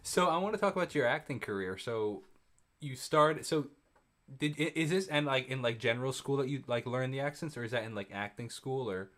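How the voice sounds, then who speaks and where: A man's voice: mid-range voice, fairly quick, fairly bright tone. A man from New York with an American accent, hosting an interview in a casual, enthusiastic way, with rambling questions. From New York, USA. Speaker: interviewer, show host.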